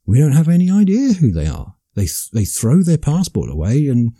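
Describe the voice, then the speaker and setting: A man's voice: a little high, wildly swooping pitch, moderate pace, mellow, slightly rough, no room sound, clean studio sound. A man giving an impassioned solo commentary, talking emphatically and often restarting his sentences.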